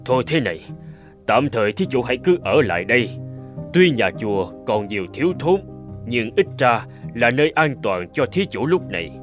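A man voicing a kindly, upright Buddhist monk with a formal, earnest, courteous manner in an animated folk tale. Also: medium pitch, normal speed, soft background music, lightly acted. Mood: concerned, kind.

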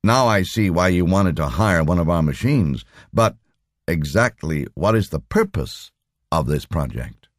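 A man's voice: low voice, expressive, unhurried, dry recording, clean studio sound. A man voicing a polite, puzzled computer company doctor in an audiobook story, businesslike and questioning.

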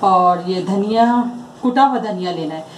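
A woman's voice: lowish voice, animated, measured pace, slight background noise. A middle-aged woman demonstrating a home recipe step by step in her kitchen, patiently explaining each ingredient and promising tips. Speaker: home cook, co-host of cooking channel. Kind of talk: casual cooking demonstration. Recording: echoey kitchen with sizzling.

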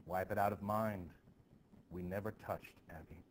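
A man playing a stern, gruff farmer in a stage play, firmly scolding and resisting temptation. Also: deep voice, fairly even intonation, moderate pace, slight background noise, natural voice. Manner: firm, scolding dramatic reading.

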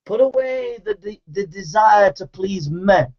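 A man with a high voice preaches hellfire, scolding and urgent, driving his points home through relentless repetition. The recording sounds muffled and lo-fi. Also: lively intonation, measured pace, vocal fry. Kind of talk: fiery confrontational sermon.

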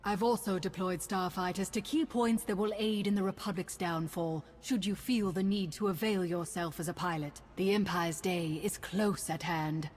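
A woman's voice: on the low side, fairly even intonation, normal speed, hardly any pauses, a little mellow, natural voice. A woman playing a bold, ruthless female admiral in a video game, commanding and formal, with a sardonic edge. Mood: rousing command. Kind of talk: video game cutscene acting. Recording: orchestral game music behind.

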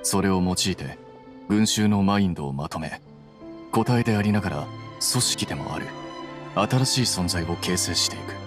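A man's voice: low voice, lively intonation, moderate pace, music in the background. An actor playing a cool, analytical young male scholar in a Japanese anime game, explaining things in a composed, precise, matter-of-fact way.

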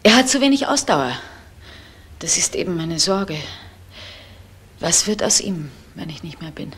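A woman's voice: fairly low pitch, very animated, a little slow, fairly bright tone, exaggerated voice. An actress playing a middle-aged mother, resigned, devoted and worried, subdued and wistful in a crime radio play.

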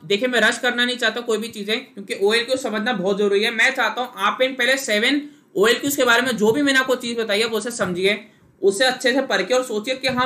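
A man's voice: high-pitched, sharp tone. A young Indian man coaching students in a live online class, explaining patiently and calling on viewers by name. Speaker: SSB interview coach.

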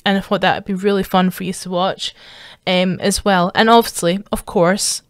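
A woman's voice: mid-range voice, steady tone, normal speed, smooth, no room sound, clean studio sound. A young woman with an Edinburgh accent chatting casually to her viewers, rambling with lots of fillers and restarts. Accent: Edinburgh Scottish. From Scotland. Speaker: streamer.

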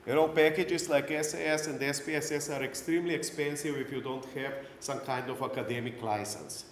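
A man's voice: medium pitch, steady tone, brisk. Middle-aged Slovenian-accented man lecturing, echoey room. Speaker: conference speaker and technical instructor. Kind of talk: technical conference lecture. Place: indoor conference breakout room. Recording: roomy echoey hall, clear background noise.

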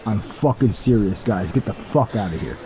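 A man playing a gruff, irritable figure in a sci-fi audio drama. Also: lowish voice, animated, normal speed, noisy background. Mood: irritated.

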